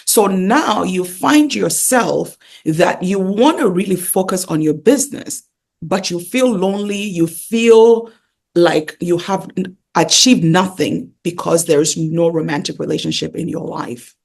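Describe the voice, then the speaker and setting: Guest pastor and coach: woman, husky with a creaky edge, emphatic, conversational teacher who leans on repetition. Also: on the low side, unhurried, darkish tone. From Ghana. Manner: emphatic, repeats key phrases, rhetorical questions.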